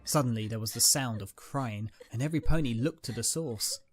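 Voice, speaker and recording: A man's voice: medium pitch, a little fast, faint music behind. A man reading a scripted dramatic story as its narrator.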